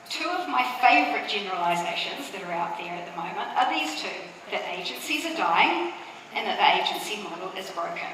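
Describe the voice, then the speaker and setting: A middle-aged woman with a New Zealand accent gives a conference talk in a relaxed, chatty way, sprinkling in fillers and little asides. She is recorded in a big hall with heavy reverb. She sounds distant from the mic, and a clear room hum sits under her voice. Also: medium pitch. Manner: conversational, uses fillers and asides. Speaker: conference speaker.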